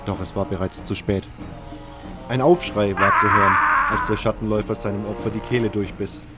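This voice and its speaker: A man's voice: lowish voice, sing-song, a little fast. A man reading scripted first-person fantasy narration as the narrator of an audio drama. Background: music.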